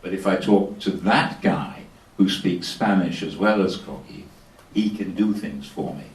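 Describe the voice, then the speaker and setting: Deep-voiced man in a roomy hall. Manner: measured, explanatory, tells personal anecdotes. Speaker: speaker answering audience questions. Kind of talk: panel discussion answer. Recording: roomy, distant hall recording.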